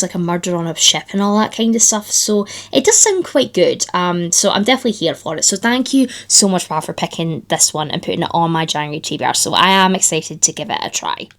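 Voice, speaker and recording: A woman vlogging about books in a chatty, enthusiastic way, her voice still slightly croaky after the flu. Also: medium pitch. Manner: chatty, enthusiastic, lots of fillers. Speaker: book vlogger. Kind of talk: casual chat to camera.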